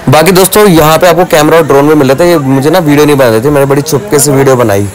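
A man's voice: fairly high pitch, very fast, rough recording. A young man vlogging as he walks through a street market, chatting as he points out goods and their prices. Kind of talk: walking market tour vlog. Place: busy outdoor street market. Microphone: handheld phone camera. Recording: busy crowd behind. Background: crowd chatter.

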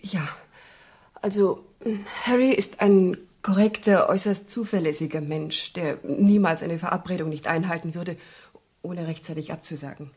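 Anxious young female client, radio mystery. Lowish, husky, earnest. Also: moderate pace, a little mellow. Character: nervous, earnest young woman seeking detective's help. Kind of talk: radio crime drama acting.

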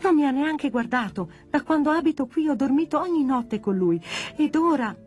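A woman's voice: high-pitched, lively intonation, moderate pace, darkish tone, soft background music, voice pushed up. A woman voicing a sad, childlike male toy doll in a children's storybook, plaintive and wounded. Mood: hurt, forlorn.

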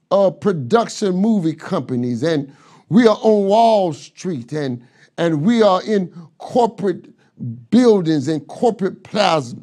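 A man's voice: high voice, a little mellow. A middle-aged man preaching a sermon from a desk in a study, building his points through rhetorical questions and repetition on a lapel mic. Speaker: pastor. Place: pastor's office with bookshelves, seated at desk.

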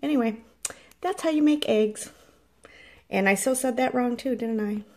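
A woman's voice: a little high, normal speed, warm tone. Chatty woman filming her kitchen on a phone. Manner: chatty, rambling, self-correcting. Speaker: farm vlogger. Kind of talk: casual cooking demo. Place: home kitchen. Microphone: phone held in hand.

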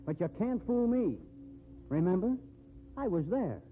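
An actor playing a stern, jealous, blunt friend, lecturing forcefully in 1950s radio style. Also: male voice, high voice, big pitch swings, a little slow. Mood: threatening. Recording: tinny, noisy 1950s radio recording.

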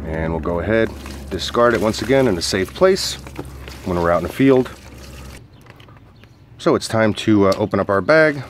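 Low-voiced man giving a casual product demonstration. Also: animated, normal speed, long pauses, slight background noise. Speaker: product reviewer and outdoor coach.

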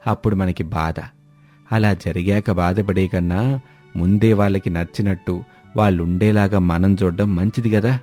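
A man voicing a calm, accommodating older father-in-law in a family story. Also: sits low, moderate pace, soft background music, pitched below the performer's own voice, close to an everyday voice. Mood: calm, resigned.

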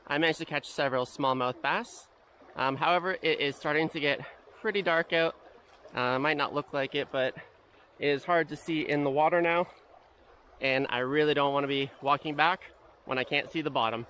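A Canadian man with a slightly raised pitch talks casually while standing in a river. His reactions are quiet and easygoing. A faint hiss of rushing water sits under the voice, picked up by a head-mounted action camera. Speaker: fishing vlogger. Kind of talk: casual first-person vlog narration. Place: outdoors wading in a river. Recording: faint outdoor water noise.

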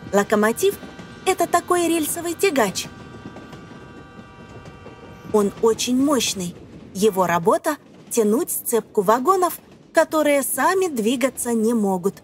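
A woman reading scripted educational narration for young children, phrasing deliberately and putting questions to the listener. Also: on the high side, sing-song. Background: music.

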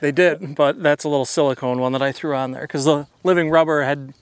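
A man's voice: fairly high pitch, fairly even intonation, brisk, clean tone. A man chatting casually as a guest angler on an open fishing boat. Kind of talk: casual chat while fishing. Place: small open boat on a lake, outdoors.

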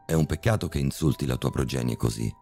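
A man playing a cold, calm, sadistic male surgeon who speaks with polite, mocking menace in a horror story reading. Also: extremely low pitch, fast, soft background music. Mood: icy calm.

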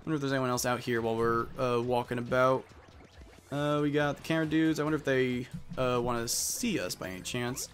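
A man's voice: mid-range voice, brisk. A man giving chatty, rambling, casual commentary as he plays a video game. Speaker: let's player. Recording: music behind the voice. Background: game music.

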